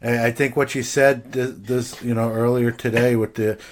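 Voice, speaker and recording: A man's voice: medium pitch, fairly even intonation, normal speed. A man talking as a podcast guest, reflective and hesitant, his sentences full of fillers and restarts. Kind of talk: casual chat.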